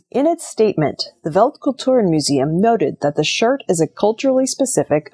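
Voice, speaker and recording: A woman's voice: lowish voice, warm tone, slightly rough. A woman reading scripted news stories as a news presenter. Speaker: news reader.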